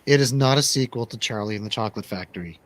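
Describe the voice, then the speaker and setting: A man's voice: medium pitch, fast talker. A man hosting a casual movie review chat, speaking in a conversational, opinionated way. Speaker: radio show host.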